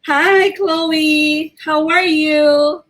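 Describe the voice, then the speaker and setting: A Filipino woman hosting an online interview, speaking in a courteous, deliberate, inviting way and mixing Tagalog and English. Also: high voice, fairly even intonation, fairly bright tone. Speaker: talk show host.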